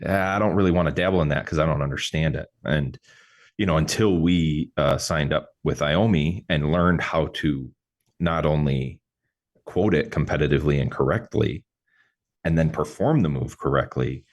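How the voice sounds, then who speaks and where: Very deep-voiced man hosting. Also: muffled recording. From New York State. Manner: halting, many restarts and fillers. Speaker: podcast host, mover. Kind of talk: casual interview chat.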